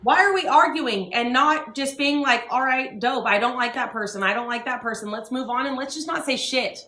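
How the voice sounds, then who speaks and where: A woman's voice: high-pitched, moderate pace, pushed and bright, roomy sound. A young woman hosting a livestream, ranting and swearing with heavy emphasis. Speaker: livestream host. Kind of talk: heated livestream talk.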